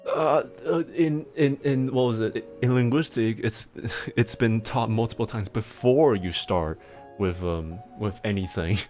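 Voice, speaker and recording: Low-voiced man rambling through chat. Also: darkish tone, soft background music. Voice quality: self-described mixed accent. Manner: rambling, halting explanations with frequent restarts and laughs. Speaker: livestreamer answering chat. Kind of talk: casual livestream chat.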